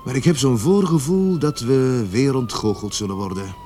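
An actor playing an experienced, gruffly compassionate police sergeant in a Dutch radio play, weary and emphatic. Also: male voice, medium pitch, big pitch swings, moderate pace, warm tone, slight background noise, lightly acted. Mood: cautious.

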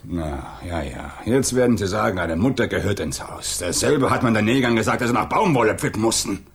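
Tired defensive single dad in an 80s radio play, low really husky voice, dodgy answers. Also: male voice, lively intonation, fairly quick, exaggerated voice. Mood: resigned, defensive. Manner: evasive, defensive, hesitant. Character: weary, guarded single father questioned by police. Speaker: actor in a radio crime drama. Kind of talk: 1980s German radio drama acting.

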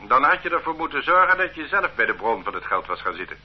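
A bossy stern cop in a radio play, lecturing and dismissive, husky, muffled old recording. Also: male voice, mid-range voice, sing-song, fast talker. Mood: dismissive, menacing. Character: stern, condescending male police officer interrogating a detainee. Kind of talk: radio drama acting.

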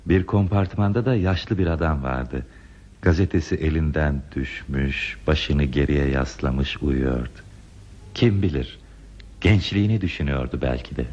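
An actor playing a lonely, modest railway signalman in a radio play, speaking in a measured, wistful, plainspoken way. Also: a bass voice, darkish tone, lo-fi sound. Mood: reflective, tender. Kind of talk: Turkish radio theatre acting.

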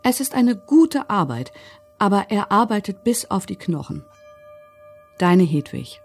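A woman's voice: mid-range voice, sing-song, measured pace, darkish tone, faint music behind. A woman playing a commandant's vain, untroubled wife, reading out her letters and requests in a documentary radio drama. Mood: chatty, admiring.

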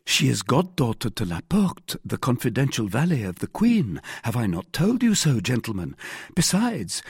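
A shrewd young musketeer, acted out by a male audiobook reader, bold and commanding. His pitch rides high and swings widely, with a slightly husky edge and a dry, close sound. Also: no room sound, heavily acted. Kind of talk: audiobook character acting.

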